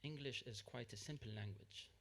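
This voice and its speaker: A man's voice: medium pitch, moderate pace, fairly bright tone. A man with a mid-range voice speaks up from the audience at a panel. He explains his point earnestly and steadily, correcting what was said before. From Lebanon. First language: Arabic. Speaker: audience member.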